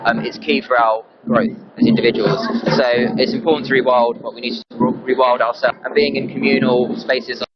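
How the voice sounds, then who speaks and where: An activist man with an Essex accent talks casually in an interview. His medium-pitched voice is earnest and hesitant, slightly muffled under loud music. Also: flat delivery, fairly quick, few pauses. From Essex, England. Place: live at a protest.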